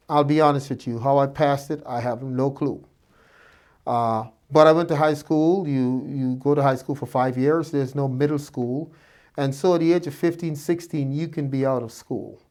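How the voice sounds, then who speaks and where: Guyanese-born doctor, reflective storyteller. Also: male voice, mid-range voice, a little mellow. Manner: reflective, frequent uh fillers and restarts. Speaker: doctor interviewed by students. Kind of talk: relaxed personal storytelling.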